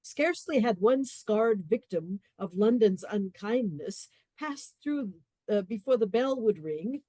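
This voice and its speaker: A woman narrating a radio play in a measured, literary storytelling manner. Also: mid-range voice, expressive, mellow, dry recording.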